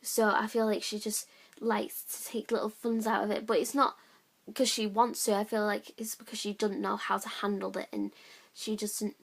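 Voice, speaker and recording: A young drama student talks through her audition choices, stopping and restarting with lots of fillers. Her voice sits in a middle pitch but moves very little, with a gravelly edge. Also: flat delivery. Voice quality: high-pitched voice, by her own account. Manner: halting, with many "like" and "um" fillers. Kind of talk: reflective spoken account.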